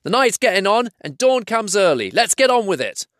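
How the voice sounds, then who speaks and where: Shrill, quarrelsome storybook troll; very high, snappish. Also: male voice, sing-song, normal speed, a bit bright, dry recording, studio quality. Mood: impatient. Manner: shrill and bickering. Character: squeaky quarrelsome male troll.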